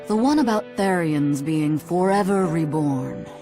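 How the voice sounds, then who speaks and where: A world-weary female spirit scholar in a fantasy game. The voice sits fairly low and drawls drily, never in a hurry. Music plays clearly underneath. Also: lively intonation, unhurried. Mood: dry, reluctant. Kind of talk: video game voice acting.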